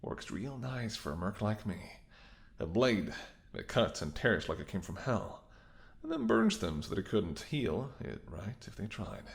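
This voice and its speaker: A man's voice: lowish voice, very animated, a little slow, creaky, full character voice. A man playing a menacing, smirking mercenary ex-bodyguard in an audio roleplay, with a taunting, sardonic way of talking. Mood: smug menace. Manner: taunting, sardonic, swearing, drops to whispers. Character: menacing, smirking male mercenary ex-bodyguard holding someone at gunpoint. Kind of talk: dramatic audio roleplay spoken to the listener.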